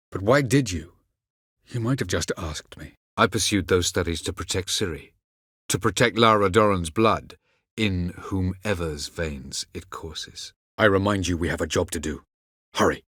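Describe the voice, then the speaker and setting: Casting: ancient elf sage, video game. Deep voice, very dry close sound, aloof, measured, archaic and condescending. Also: male voice, a bit bright, slightly rough, no room sound, full character voice. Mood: cool, condescending. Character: aloof, haughty ancient elven sage and mage. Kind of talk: video game character acting.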